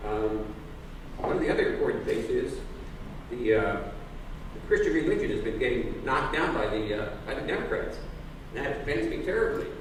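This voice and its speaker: A man speaking as a candidate at a public forum, halting, with many fillers and restarts. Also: medium pitch, lively intonation. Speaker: political candidate. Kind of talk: forum speech. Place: public library forum room. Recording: echoey room, distant mic, noise.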